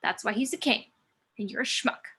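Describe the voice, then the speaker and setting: A woman's voice: fairly high pitch, normal speed, husky, exaggerated voice. A woman playing a blunt, scornful celestial muse in a script table read, emphatic and sardonic as she scolds her fallen brother. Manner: emphatic, sardonic, sharp-tongued. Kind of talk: amateur table reading of a film script.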